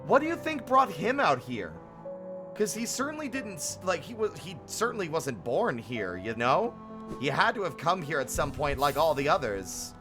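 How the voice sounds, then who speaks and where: A man's voice: on the high side, sing-song, moderate pace. A man chatting casually and expressively to viewers while live-streaming a game, with some swearing. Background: game music.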